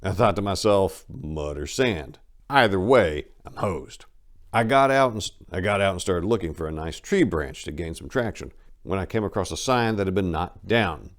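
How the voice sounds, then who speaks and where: A man giving a dramatic reading of a first-person road story, narrating with emphatic swings in loudness. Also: sits low, lively intonation, a little fast. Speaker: audiobook narrator.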